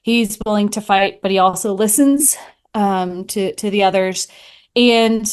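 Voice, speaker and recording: A woman's voice: medium pitch, measured pace, clear, resonant tone. Casual woman podcaster chatting away. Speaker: podcaster answering questions as a guest. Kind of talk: casual chat.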